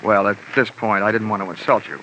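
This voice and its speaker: An actor playing a wry, hard-boiled American insurance investigator in a 1940s radio detective show. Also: male voice, on the low side, fast talker, slight background noise. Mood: exasperated. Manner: wry, deadpan wisecracking. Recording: dull old radio recording.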